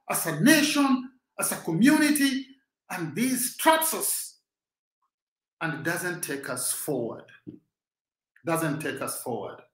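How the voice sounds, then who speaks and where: A man's voice: sits high, reverberant, low-quality recording. A Kenyan man with a Swahili-speaking background, giving a rousing, preacher-like motivational talk as host.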